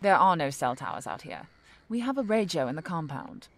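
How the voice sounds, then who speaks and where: An actress playing a feisty, skeptical, indignant woman in an audio drama, sharp-tongued and sarcastic. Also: deep voice, sing-song, brisk, gravelly, heavily acted. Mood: firm.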